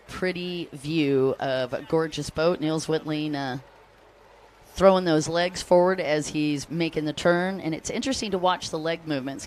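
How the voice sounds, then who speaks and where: A woman's voice: low-pitched, slight background noise. An American woman giving live race commentary, chatty and upbeat, calling out riders and greeting fans between lap updates. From United States.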